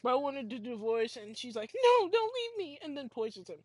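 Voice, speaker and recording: Higher-pitched young woman, slangy game streamer. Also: big pitch swings, normal speed, darkish tone, no room sound. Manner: slangy, reactive, irreverent. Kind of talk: casual gameplay commentary.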